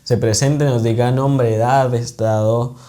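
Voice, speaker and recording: A man's voice: medium pitch, fairly even intonation, measured pace, dark tone. A man hosting a podcast interview in a casual, joking way. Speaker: podcast host.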